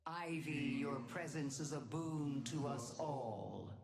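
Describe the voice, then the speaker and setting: Low, regal, echoing archmother. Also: lively intonation, slow pace, a crowd in the background, heavily acted. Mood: solemn, grateful. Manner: solemn, stately, declamatory. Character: regal archmother commander bestowing stately praise on followers. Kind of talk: video game voice lines.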